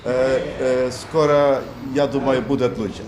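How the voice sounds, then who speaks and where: Man, unhurried. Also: medium pitch, steady tone. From Cyprus. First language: Turkish. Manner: measured, hesitant, many fillers. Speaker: property developer. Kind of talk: relaxed interview answers. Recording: roomy echo with background music.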